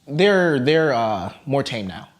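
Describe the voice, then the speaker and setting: Young dude joking around in a sitdown, voice bounces all over, keeps laughing. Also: male voice, takes it slowly. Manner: playful, laughing banter, lively pitch. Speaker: content creator. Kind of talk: casual sit-down chat.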